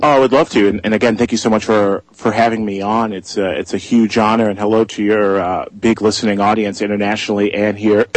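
A hoarse young man in his twenties speaks as an eager radio guest, filling his talk with "uh." His voice is somewhat low and sounds dry and close. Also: fairly even intonation, fairly quick, few pauses, no echo, low-quality recording. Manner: eager, many "uh" fillers. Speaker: guest, freelance journalist. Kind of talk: radio interview.

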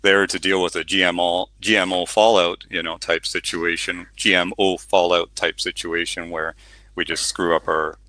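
A man's voice: low-pitched, little pitch movement, normal speed, bright, forceful tone, no echo. A middle-aged man, a novelist, talking casually about his book in an interview with many fillers and restarts, then reading a passage aloud. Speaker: novelist guest.

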